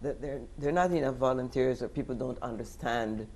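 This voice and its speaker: A man's voice: mid-range voice, a little fast, a little mellow. A man hosting an interview, asking questions and murmuring agreement as guests speak. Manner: encouraging, frequent murmured agreement. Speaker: interview host.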